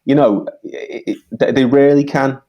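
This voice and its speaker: A man's voice: mid-range voice, fairly even intonation, normal speed, muffled recording. A man with a Liverpool accent, a songwriter co-hosting a podcast, talking earnestly and repeating himself as he finds his words. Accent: Liverpool English. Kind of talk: casual chat.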